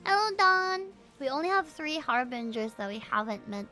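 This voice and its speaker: High, bright-voiced woman streaming. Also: moderate pace, soft background music. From Philippines. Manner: expressive, exclamatory. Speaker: livestreamer. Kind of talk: casual game stream reactions.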